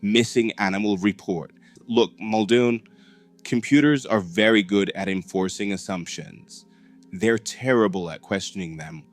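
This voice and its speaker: A man's voice: on the low side, a little slow, soft background music, natural voice. A man playing a methodical, matter-of-fact computer technician in a found-footage horror film, speaking precisely and wryly. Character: dry, methodical male computer technician at a dinosaur park.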